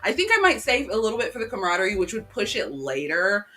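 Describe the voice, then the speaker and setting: A woman's voice: medium pitch, sing-song, fast talker, pushed and bright, faint music behind. A woman co-hosting a casual live chat from a cool room at home, rambling along with lots of ums and restarts. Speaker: livestream co-host. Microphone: home desk microphone.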